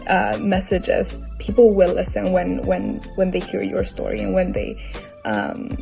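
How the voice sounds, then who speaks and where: Young woman from Ecuador, gravelly, hesitant advocate; soft music under. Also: medium pitch, sing-song, a little slow. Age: young adult. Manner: hesitant, many restarts.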